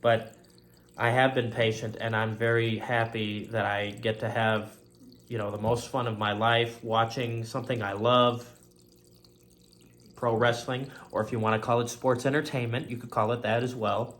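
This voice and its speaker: A man's voice: medium pitch, flat delivery, normal speed, a bit bright. A man hosting a faith-themed talk show, speaking in an earnest, rambling solo monologue and often circling back to stock phrases.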